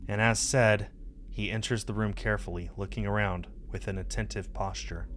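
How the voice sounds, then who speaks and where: A man's voice: lowish voice, fairly even intonation, moderate pace, fairly bright tone, a little hiss or hum. A man in his thirties reading visual novel narration aloud as a streamer, close to the mic. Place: indoor streaming setup. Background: game music.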